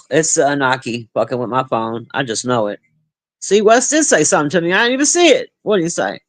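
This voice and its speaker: A middle-aged woman with a deep, husky smoker's voice, chatting profanely and bluntly with her livestream viewers. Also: fairly quick. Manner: profane, blunt, animated banter. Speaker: livestream host reading chat. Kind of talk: casual livestream chat.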